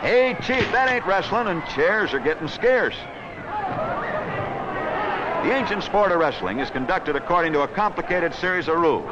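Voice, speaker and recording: Excitable high-pitched 1940s newsreel man. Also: moderate pace, pauses often, a loud crowd. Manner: wisecracking, theatrical, slangy. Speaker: newsreel sports commentator. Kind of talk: 1940s newsreel wrestling commentary, scripted narration. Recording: noisy, dull old 16mm newsreel soundtrack.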